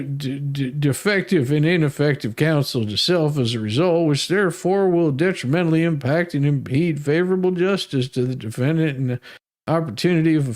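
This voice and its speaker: A man's voice: fairly high pitch, darkish tone. A man hosting a livestream, reading a legal document aloud in a dramatic, sarcastic manner with mocking asides. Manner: sarcastic, stumbles over long legal words. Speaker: livestream host.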